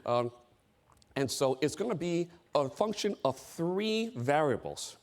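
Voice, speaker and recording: A man's voice: a little high, expressive, unhurried. A middle-aged man from the United States giving an emphatic seminar talk on board service, repeating his key phrases.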